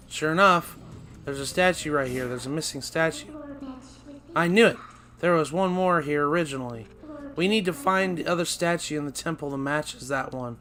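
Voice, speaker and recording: A man hosting a video game let's play, losing his voice and sounding raspy, rambling and correcting himself as he talks. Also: fairly high pitch, sharp tone. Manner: rambling, self-correcting, chatty. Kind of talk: casual gameplay commentary.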